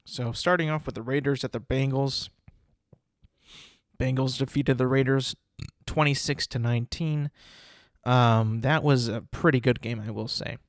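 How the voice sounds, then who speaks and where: A man's voice: medium pitch, steady tone, a little slow, no room sound, studio quality. A young man hosting a solo podcast, congested and sniffling, rambling casually with many fillers and restarts. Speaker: podcast host.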